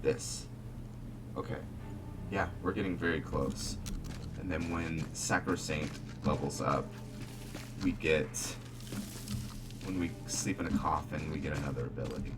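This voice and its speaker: A man's voice: rumbling low, unhurried, pauses often, distant mic. A man giving casual, offhand commentary as he hosts a gameplay video, often muttering to himself. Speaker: gaming video host. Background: video game music and sounds.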